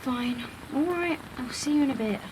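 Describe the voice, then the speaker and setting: High-voiced, sarcastic young woman acting. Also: normal speed, background noise, close to an everyday voice. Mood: impatient. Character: Claire, exasperated, reluctant young female video blogger. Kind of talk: audio drama acting.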